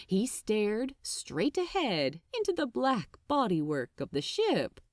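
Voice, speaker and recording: Audiobook narrator, woman: expressive scripted fiction read, slightly slow, recorded close and dry. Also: medium pitch, no room sound.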